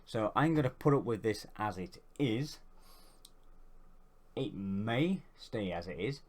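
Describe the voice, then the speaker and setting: A man's voice: on the low side, lively intonation, takes it slowly. Congested man, chatty and rambling. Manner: rambling, chatty, self-deprecating. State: congested with a cold. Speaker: YouTuber. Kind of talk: casual how-to vlog.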